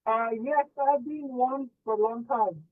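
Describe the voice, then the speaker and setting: A man's voice: extremely high pitch, a little slow. A male caller in his fifties, originally from Pakistan, asking hesitantly and politely about his future over the phone. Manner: polite, hesitant, many fillers. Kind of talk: phone-in call. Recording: tinny phone line.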